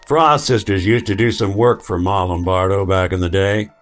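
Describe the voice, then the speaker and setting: An actor playing a world-weary, hard-boiled male detective in an old-time radio drama, speaking tersely and deliberately. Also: deep voice, soft background music, lightly acted. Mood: terse, wry.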